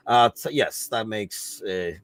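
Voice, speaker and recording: A man's voice: on the low side, expressive, takes it slowly, a bit bright, clean tone. A man hosting a live football podcast, talking casually with lots of fillers and frequent laughs. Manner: rambling, many fillers, laughs often. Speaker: podcast host.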